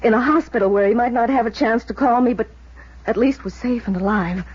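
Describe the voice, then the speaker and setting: An actress playing the anxious, guarded American wife of a missing man, halting with restrained worry, in 1950s radio drama style. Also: a little high, darkish tone, breathy edge. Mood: worried, defensive. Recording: dull vintage radio recording.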